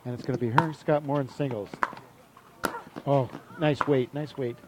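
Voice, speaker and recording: A man giving chatty, excitable live commentary on a sports match. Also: mid-range voice, moderate pace, very noisy.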